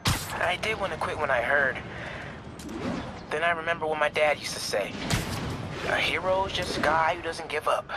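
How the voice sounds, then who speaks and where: A man's voice: fairly high pitch, moderate pace, pauses often, very noisy, natural voice. An actor playing a sincere, resolute teenage boy in a naturalistic video game scene. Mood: resolute, wistful. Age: young adult. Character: sincere, resolute teenage boy honoring his late father. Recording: loud music over the voice.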